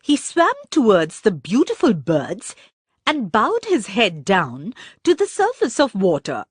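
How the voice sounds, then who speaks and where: A woman narrating a children's story from a script in an expressive, deliberate storybook manner. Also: mid-range voice, husky, dry recording, studio quality.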